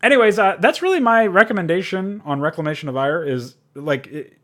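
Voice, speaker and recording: A man's voice: high voice, expressive, fairly quick, fairly bright tone. A man giving a casual, unscripted game review, rambling and informal, with frequent swearing.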